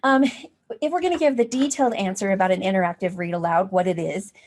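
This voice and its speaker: A woman's voice: mid-range voice, quick pace, a little mellow. A woman giving an informal teaching workshop, explaining in a conversational way as she thinks aloud. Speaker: workshop presenter on teaching reading. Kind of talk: informal professional development talk.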